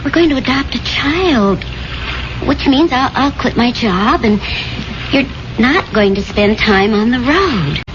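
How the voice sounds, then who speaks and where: An actress playing a loving but firm wife in a 1970s radio drama, affectionate and coaxing. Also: female voice, fairly high pitch, animated, a little slow. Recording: noisy, muffled 1970s radio broadcast recording.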